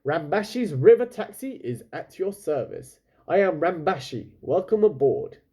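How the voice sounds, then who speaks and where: A man's voice: a little high, sing-song, a little slow, dark tone, breathy edge, pitched above the performer's own voice, full character voice. A man voicing a proud, bumbling pirate captain in a storybook, grandly formal, boastful and trying to sound fierce. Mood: proud, hearty.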